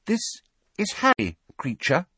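A man voicing an earnest, gentle teenage girl in a storybook, tentative and pleading. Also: high-pitched, huge pitch swings, a little slow, slightly rough, dry recording, studio quality, voice pushed up, full character voice.

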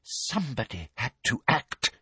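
Performer playing cruel middle-aged woman official, storybook villain, high-pitched, breathy, pompous, sugary menace, animated intonation. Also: a little slow, dry recording, clean studio sound. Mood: quiet, menacing. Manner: pompous, coaxing then snapping.

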